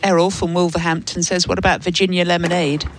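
A woman's voice: fairly low pitch, little pitch movement, fast talker, noisy background. A woman co-hosting a sports show, reading out listeners' messages with casual, playful banter. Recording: music playing behind, outdoor venue. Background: DJ music at outdoor venue.

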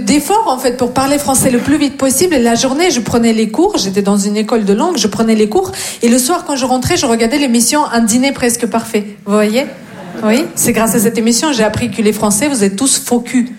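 A woman from Russia with a Russian accent doing a sarcastic, teasing stand-up comedy set on stage. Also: on the high side. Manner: cheeky, sarcastic, teasing the audience. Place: comedy stage before a live audience.

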